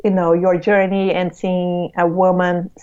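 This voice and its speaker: A woman from Latin America, a native Portuguese speaker with a Brazilian accent, speaking excitedly as a podcast guest with frequent restarts. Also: fairly low pitch, clean tone, lo-fi sound. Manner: warm, excited, halting restarts. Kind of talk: conversational interview.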